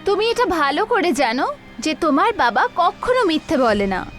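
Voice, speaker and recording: Woman playing a very high-voiced cartoon mother. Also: very animated, moderate pace, fairly bright tone, soft background music, full character voice. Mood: gently scolding. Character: protective mother of a young girl. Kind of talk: animated story voice acting.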